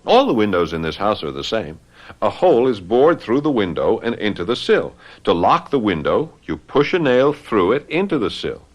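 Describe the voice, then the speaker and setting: Earnest, excitable young man, animated pitch. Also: normal speed. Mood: puzzled, insistent. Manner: insistent, plain-spoken. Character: earnest, baffled, jealous young fiancé, hot-headed. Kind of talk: radio mystery drama acting.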